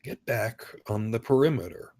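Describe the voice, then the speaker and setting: A man's voice: medium pitch, moderate pace, darkish tone, close to an everyday voice. A man playing a curt, impatient small-town detective in a screenplay table read. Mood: irritated.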